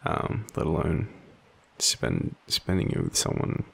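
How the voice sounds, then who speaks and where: An Australian man playing a vulnerable, devoted young partner in an intimate audio roleplay, hesitant and halting, with a husky voice. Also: a bass voice, huge pitch swings, heavily acted. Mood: tender, nervous. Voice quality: husky, gravelly. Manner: hesitant, halting, soft-spoken confession.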